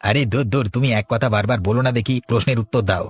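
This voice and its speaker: A man's voice: mid-range voice, fast talker, slightly rough, lightly acted. An actor playing an earnest, talkative village man in a cartoon, exclaiming and explaining. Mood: brisk, insistent. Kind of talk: Bengali cartoon dialogue.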